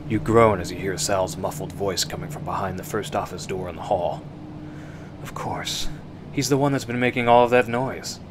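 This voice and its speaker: A man narrating a suspense radio drama in the second person, with measured, hushed storytelling. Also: deep voice, background noise, lightly acted.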